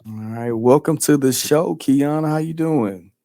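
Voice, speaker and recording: A man's voice: on the high side, unhurried, mellow, dry recording, clean studio sound. A man co-hosting a podcast who talks in a playful, chatty way with lots of fillers. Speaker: podcast co-host. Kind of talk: casual podcast chat.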